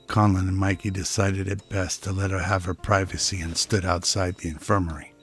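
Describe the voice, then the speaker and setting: A low-voiced amateur actor as a male narrator tells a science fiction audio drama in measured prose. His delivery stays level, with little rise or fall, over music. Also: little pitch movement, fairly bright tone. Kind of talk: scripted radio drama narration.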